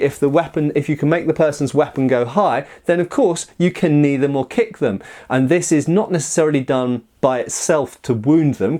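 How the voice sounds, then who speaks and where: A man's voice: mid-range voice, darkish tone. A man hosting a conversational review, explaining techniques with firm opinions and emphatic asides. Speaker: host, martial arts commentator.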